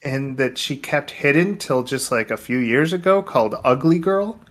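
A man's voice: mid-range voice, animated, moderate pace. A man co-hosting a podcast, chatting casually with wry, playful turns of phrase. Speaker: podcast co-host. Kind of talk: casual movie podcast chat.

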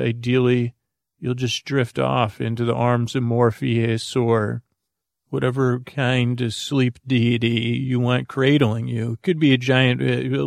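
A man hosting a sleep podcast, rambling in a halting, meandering, goofy way with many tangents and false starts. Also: mid-range voice, gravelly, dry recording, clean studio sound. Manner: goofy, whimsical. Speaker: podcast host.

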